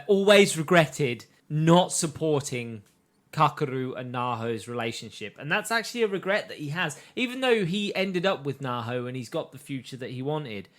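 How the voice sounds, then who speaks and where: A man's voice: on the high side, fairly bright tone. A young man co-hosting a podcast, casually and thoughtfully retelling scenes from a show. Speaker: podcast co-host. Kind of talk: casual chat recapping an anime.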